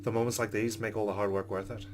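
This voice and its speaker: A man's voice: on the low side, level tone, fast, darkish tone, faint music behind. A man hosting a let's play, reading game narration aloud and adding casual commentary.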